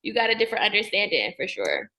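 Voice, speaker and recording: Bright-voiced upbeat woman. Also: medium pitch, fast, low-quality recording. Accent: Detroit. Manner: animated, upbeat, laughs often. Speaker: guest psychologist. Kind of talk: casual podcast conversation.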